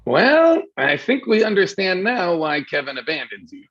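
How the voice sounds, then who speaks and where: A performer playing a sardonic, mock-tender gray cat in an animated comedy table read. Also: medium pitch, normal speed, muffled recording.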